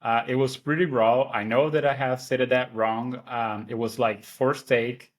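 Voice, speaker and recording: A man's voice: mid-range voice, steady tone, measured pace. A man from Brazil whose first language is Portuguese, speaking with a Portuguese accent, chatting as a guest over a video call with many restarts and fillers. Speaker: guest, online seller. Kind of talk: casual interview chat.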